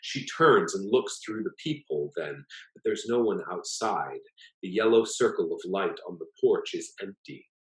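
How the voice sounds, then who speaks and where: A low-voiced man reads literary fiction aloud in a measured way. His pitch moves expressively through the narration. The room adds a clear echo around him. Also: expressive. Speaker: author reading own short story. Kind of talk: literary story reading.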